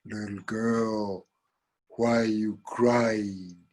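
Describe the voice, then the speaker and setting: Man doing a slow, drawling storybook cow. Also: on the low side, fairly even intonation, a little mellow. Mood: friendly, concerned. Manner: drawn-out, slow speech. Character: big slow gentle cow, friend to a girl.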